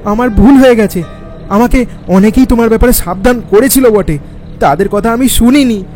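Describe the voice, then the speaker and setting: A man's voice: very high-pitched, moderate pace, darkish tone, soft background music, pitched above the performer's own voice. A man voicing an agitated, frightened male passenger in a horror audio story, prone to stammering. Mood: terrified pleading.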